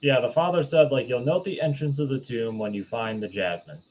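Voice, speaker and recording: A man's voice: medium pitch, a little fast, slight background noise. A man co-hosting a podcast, casually explaining film plot points over a dull, lo-fi microphone. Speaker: podcast co-host discussing films. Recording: slightly dull, lo-fi microphone sound.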